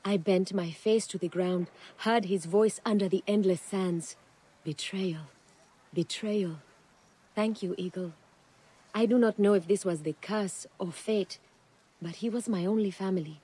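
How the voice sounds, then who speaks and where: An actress playing a grave, mystical young seer's apprentice in a video game, with solemn, poetic delivery. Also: female voice, mid-range voice, a little slow, warm tone, slightly rough. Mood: grieving. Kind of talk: video game cutscene acting. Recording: faint game music behind the voice.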